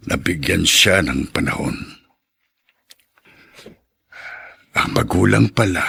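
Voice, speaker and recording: A male actor playing a remorseful, resigned elderly father in a Filipino radio drama, with a gravelly, husky voice. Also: low voice, pushed and bright, exaggerated voice. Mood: resigned, sorrowful. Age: older. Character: ailing-sounding elderly father, remorseful, resigned, self-sacrificing.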